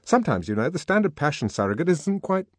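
A man's voice: a little high, big pitch swings, no echo, voice pushed up. A man narrating a book, voicing a hearty young male worker who keeps pressing his advice. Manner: brisk, hearty and pushy.

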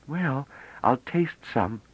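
A man reading a novel aloud, voicing a chatty, naive young woman who talks rapidly and almost breathlessly. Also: mid-range voice, very animated, breathy edge, voice pushed up. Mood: eager. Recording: dull lo-fi dry audio.

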